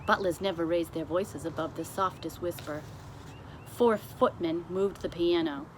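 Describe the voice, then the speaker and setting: A woman reading a children's story aloud as scripted narration, with deliberate, expressive phrasing. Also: fairly low pitch. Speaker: storybook reader. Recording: clearly noisy amateur recording.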